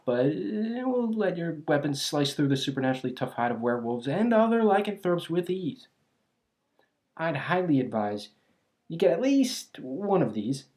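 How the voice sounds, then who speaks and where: A voice actor playing a clumsy, cheerfully eccentric alchemist shopkeeper in an ASMR roleplay, chatty and absent-minded, halting and trailing off. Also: medium pitch, darkish tone, natural voice. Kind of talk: ASMR roleplay, scripted character acting.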